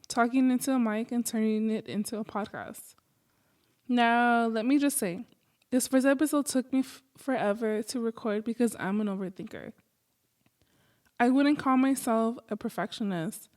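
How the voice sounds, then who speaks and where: Female podcast host, American, slightly high pitch, informal and easygoing, casual monologue delivery. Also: darkish tone. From Los Angeles, United States.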